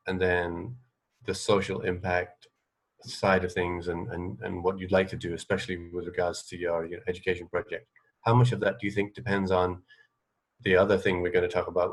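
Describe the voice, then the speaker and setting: A man hosting a casual radio interview, speaking tentatively and thinking aloud as he goes. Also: low voice.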